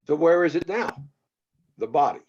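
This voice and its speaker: A man's voice: a little high, lively intonation, normal speed, a little mellow, husky, highs cut off. A man playing an unhinged, menacing old producer who grumbles and commands in a screenplay table read.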